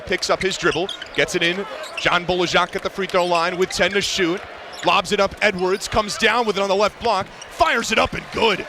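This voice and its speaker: A man calling live basketball play-by-play in clipped, vivid running description, following the action moment by moment. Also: high voice. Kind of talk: live sports commentary. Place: courtside in a large indoor arena. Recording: loud arena crowd noise behind voice. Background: crowd and bouncing basketball.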